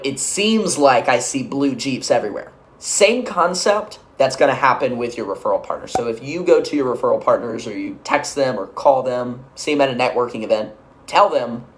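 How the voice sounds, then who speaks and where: A man giving an instructional business-coaching talk, conversational and energetic, checking in often with quick asides. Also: mid-range voice. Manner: energetic, conversational, uses filler checks like "okay?" and "right?".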